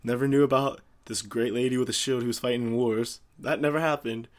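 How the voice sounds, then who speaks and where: A man's voice: mid-range voice, steady tone, fairly quick. A man co-hosting a casual podcast about movies, joking wryly and sarcastically. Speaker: podcast co-host.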